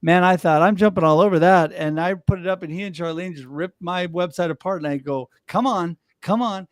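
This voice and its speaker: A man hosting a livestream, chatting casually and telling stories with enthusiasm and quick laughs. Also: high voice, no echo, clean studio sound. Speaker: livestream host. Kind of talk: casual chat.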